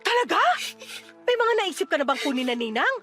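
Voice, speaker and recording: A woman playing a feisty, joking, devoted single mother of about forty in a Filipino radio drama, with a breezy, teasing, expressive delivery and a Filipino accent. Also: high voice, fairly quick, soft background music.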